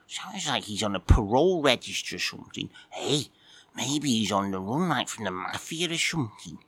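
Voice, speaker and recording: A man's voice: fairly low pitch, big pitch swings, normal speed, a bit bright, slightly rough, full character voice. A man voicing a cheeky, crude, dim-witted male cat who rambles with mock innocence.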